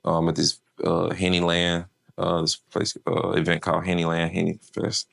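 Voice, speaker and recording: A man's voice: low voice, completely flat delivery, moderate pace, vocal fry, a bit bright. A man from Memphis, an artist manager chatting casually in an interview, laid-back and slangy with lots of fillers.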